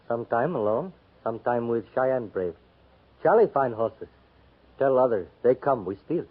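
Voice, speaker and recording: A grown Crow scout in a 1950s radio play, his voice husky and a little breathy, his speech clipped and broken as he refers to himself by name. The sound is thin and tinny, like an old broadcast heard over a narrow line. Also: medium pitch, lively intonation, normal speed. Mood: plain. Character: Crow Indian scout, grown man, wry and proud. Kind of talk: 1950s radio drama acting.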